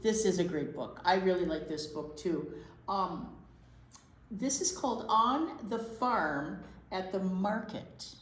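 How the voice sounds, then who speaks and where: A woman's voice: lowish voice, takes it slowly. A woman teacher reading a picture book aloud to children, with casual chatty asides. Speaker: library storytime teacher. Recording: echoey room with faint noise.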